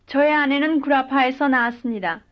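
A woman's voice: high-pitched, a little fast. A woman speaking full model sentences in a language drill, each one carefully and evenly delivered. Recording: dull lo-fi recording.

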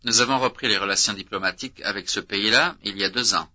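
A man's voice: lowish voice, sharp tone. Man reading drills on dull tape. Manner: slow, deliberate, clearly articulated. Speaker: language course instructor.

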